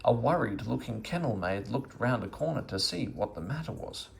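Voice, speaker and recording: A man narrating a children's storybook aloud in an expressive reading style. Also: medium pitch, normal speed, creaky, a little hiss or hum. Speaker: storybook reader.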